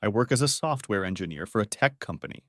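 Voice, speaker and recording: A man teaching beginner English, reading short model sentences clearly and saying each one twice. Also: lowish voice, expressive, a bit bright, no echo, clean studio sound. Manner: says each phrase twice, clearly and deliberately.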